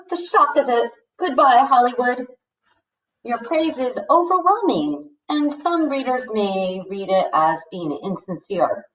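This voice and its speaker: A woman reading as an easygoing male teacher in a storybook, chummy and gently corrective. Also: a little high, echoey room. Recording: distant microphone.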